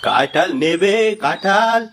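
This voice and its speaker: A woman voicing a humble old blind man selling fruit, in a slow, drawn-out, plaintive way. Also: on the low side, lively intonation, a bit bright. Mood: weary. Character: old blind poor male jackfruit seller, humble. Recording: music under the voice.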